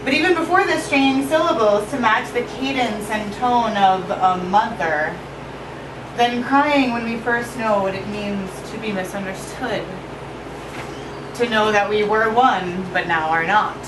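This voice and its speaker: A woman poet giving an expressive live poetry reading at an evening event. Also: measured pace. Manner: expressive, shifting pitch and pace for effect. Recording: roomy echo from far off with noise.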